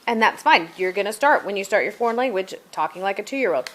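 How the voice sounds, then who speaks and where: A woman's voice: mid-range voice, expressive, quick pace, fairly bright tone, poor audio. A woman giving a casual product review as a homeschooling mother, chatty and demonstrative, thinking aloud as she goes.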